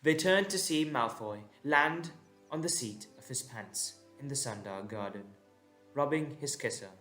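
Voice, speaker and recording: A man's voice: medium pitch, expressive, measured pace, pushed and bright. A man narrating a scripted audio drama in a dramatic storytelling style. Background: instrumental music.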